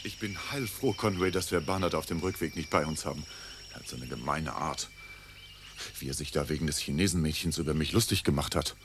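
Lovestruck young gentleman, radio play: very low voice, earnest, agitated, clear cricket ambience. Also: fairly quick, background noise. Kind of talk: radio drama acting. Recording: outdoor scene with loud cricket ambience.

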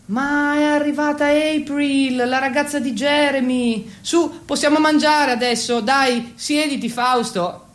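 A man's voice: extremely high pitch, fairly even intonation, measured pace, few pauses, a bit bright, voice pushed up. A man voicing an impatient, insistent holiday host in a theatrical monologue. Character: loud, impatient American holiday hosts. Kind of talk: theatrical quoted dialogue.

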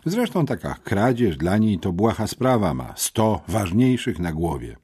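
A man voicing a dignified woman headmistress in an audiobook, measured, hesitant and incredulous. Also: lowish voice, big pitch swings, mellow, husky, voice pushed up, full character voice. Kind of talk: audiobook character reading.

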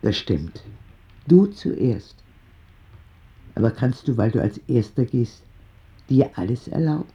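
A man playing a frank, tender old grandmother in a radio drama, speaking gently, earnestly and deliberately. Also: medium pitch, very animated, highs cut off. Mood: grave, gentle.

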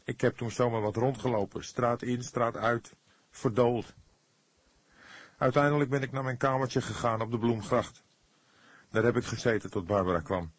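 A man's voice: medium pitch, moderate pace, husky, dry recording, pitched above the performer's own voice, lightly acted. A man voicing a troubled young murder suspect in an audiobook, earnest, emotional and on edge. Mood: troubled, pleading.

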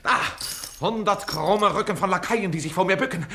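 An actor playing a sly young servant and pleasure-loving sponger in a German radio drama, speaking playfully and theatrically. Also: male voice, sits high, moderate pace, pushed and bright, slight background noise. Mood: wistful, relishing.

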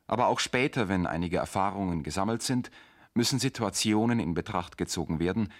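An actor playing a sober male reader, narrating formally in a measured, factual manner for a radio drama. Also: sits low.

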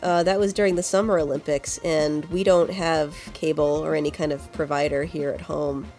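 A woman's voice: low-pitched, fairly even intonation, normal speed, a little mellow. A woman with a congested voice chatting casually as a podcast co-host. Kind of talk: casual chat. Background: music.

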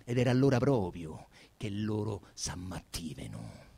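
Slow, gravelly Roman man reading theatrically. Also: on the low side, very animated. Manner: intense, theatrical, swinging from hushed murmurs to loud declamation. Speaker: actor reading a literary text. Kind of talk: theatrical dramatic reading of a novel.

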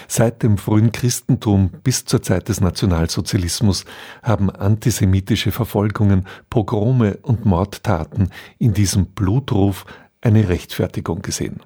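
A man reading a scripted radio introduction in a measured, formal, explanatory manner. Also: lowish voice, warm tone, breathy edge. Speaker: radio presenter introducing a radio drama.

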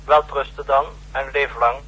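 An actor playing a cool, guarded spymaster, terse and raspy, in a 1960s radio drama. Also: male voice, medium pitch, steady tone, moderate pace, bright, forceful tone, rough recording. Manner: terse, reserved.

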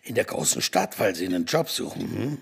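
An actor playing a brash, blunt diner counterman with a gravelly, raspy voice in a radio play. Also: sits low, very animated, brisk, slight background noise, exaggerated voice. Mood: blunt, cocky.

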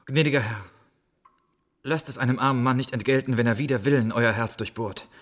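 A man playing a poor soldier bearing grim news in a radio drama, husky, grave and declamatory. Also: medium pitch, a little fast, close to an everyday voice. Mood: solemn. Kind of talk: theatrical radio drama storytelling.

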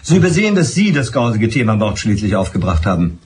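An insistent, animated police inspector. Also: male voice, lowish voice, fast, slight background noise. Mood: insistent, stern. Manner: formal, insistent, dry questioning. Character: persistent, exasperated male police inspector questioning a suspect. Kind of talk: radio drama acting.

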